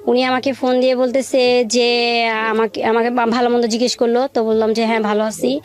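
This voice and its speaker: A middle-aged woman with a Bangladeshi accent giving a personal account as an interview guest, in a halting way with repeated short answers. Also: on the high side, little pitch movement, clear, resonant tone. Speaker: guest telling her life story.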